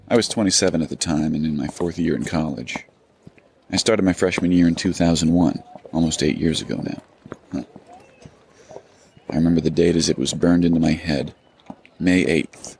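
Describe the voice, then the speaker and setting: Weary young sergeant, very low voice, guarded survivor in an audio drama, music beneath. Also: male voice, vocal fry, darkish tone. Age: 20s. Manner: blunt, world-weary. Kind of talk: dramatic first-person audio drama narration. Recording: music under the voice.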